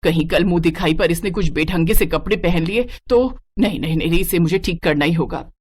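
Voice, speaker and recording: A woman's voice: on the low side, fairly quick, darkish tone, husky. Woman as a domineering Indian family-story mother-in-law, middle-aged, strict, scolding, nagging. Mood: indignant, worried. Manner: bossy, scolding.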